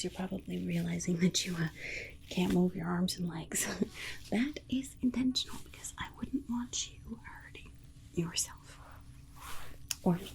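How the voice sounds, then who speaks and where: A woman playing a nervous, chatty alien scientist in an ASMR roleplay, speaking in a hesitant, stammering whisper and awkwardly reassuring. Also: mid-range voice. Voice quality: breathy whisper with creaky soft voice. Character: nervous, chatty, well-meaning alien scientist abducting a human. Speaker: ASMR performer.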